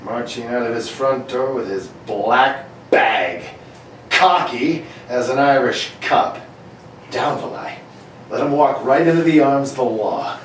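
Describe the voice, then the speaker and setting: A man's voice: medium pitch, a little slow, lightly acted. A man playing a brusque, impatient police inspector in an amateur 1940s-style radio play, barking questions and orders. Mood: wry, watchful. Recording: echoey room, distant mic, faint noise.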